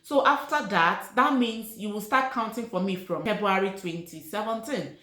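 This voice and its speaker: A woman's voice: mid-range voice, moderate pace, pushed and bright. A middle-aged woman from Nigeria whose first language is Igbo, telling her personal story to camera in a casual, earnest way and repeating key words for emphasis. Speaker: vlogger. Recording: echoey room.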